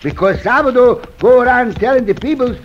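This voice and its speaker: An actor playing a comical, simple-hearted Mexican cowboy sidekick, grumbling in accented broken English in an old-time radio western. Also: male voice, very high voice, animated, a little fast. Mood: comic, earnest. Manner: broken English, speaks of himself by name. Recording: dull, slightly noisy vintage radio recording.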